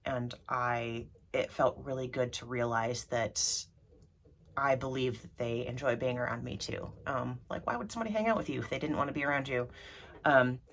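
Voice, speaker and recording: Low-voiced woman vlogger, stuffy and throaty from allergies, loose rambling delivery. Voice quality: throaty, froggy. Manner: rambly, many ums and restarts. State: congested from allergies. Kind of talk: rambling casual vlog.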